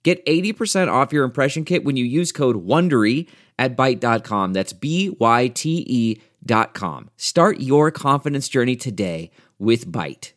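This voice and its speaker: A man reading a scripted advertisement in an upbeat, smooth sales tone. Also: medium pitch, animated, measured pace. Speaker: ad announcer. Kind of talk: scripted ad read.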